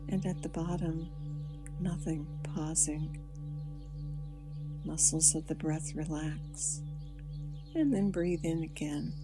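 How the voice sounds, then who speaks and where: A woman guiding a breathing meditation, speaking softly and soothingly with long pauses. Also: low voice. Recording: music playing behind the voice. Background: soft background music.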